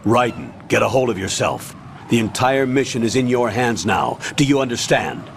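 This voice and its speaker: An actor playing a stern, authoritative older military commander in a video game, giving a measured, commanding briefing. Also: male voice, medium pitch, lively intonation, vocal fry, slight background noise. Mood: firm.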